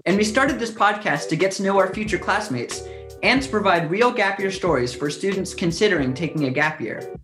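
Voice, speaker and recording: A young man co-hosting a podcast, reading the intro and questions in a measured, polished way. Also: sits high, a bit bright. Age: young adult. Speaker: podcast co-host.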